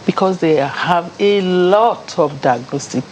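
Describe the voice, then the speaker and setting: A woman, a professor on a TV panel, giving measured advice. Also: on the low side, darkish tone, slight background noise, poor audio. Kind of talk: studio discussion.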